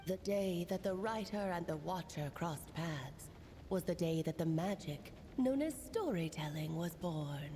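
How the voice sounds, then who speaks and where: A woman's voice: fairly low pitch, lively intonation, a little slow, background noise. A woman playing a breezy, eccentric witch auntie in an anime video game, with a theatrical storyteller's flourish. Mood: grand, theatrical.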